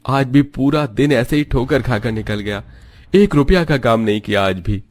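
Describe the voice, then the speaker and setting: A man's voice: mid-range voice, expressive, normal speed, natural voice. A man voicing an earnest, loving poor young labourer and husband in a plain-spoken way. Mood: downcast.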